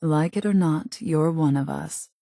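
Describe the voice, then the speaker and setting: Low, gravelly, cold raider boss. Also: female voice, dry recording, clean studio sound. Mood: cool, measured. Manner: composed, formal, measured, with quiet menace. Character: cold, calculating female raider gang leader. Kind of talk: video game character voice acting.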